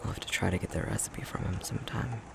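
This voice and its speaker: A man playing a tender, self-conscious young fox-shifter boyfriend in an intimate ASMR roleplay, speaking in a soft, breathy, halting murmur. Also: fairly low pitch, steady tone, noisy background. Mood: fond, soft. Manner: unhurried, halting, self-deprecating chuckly storytelling.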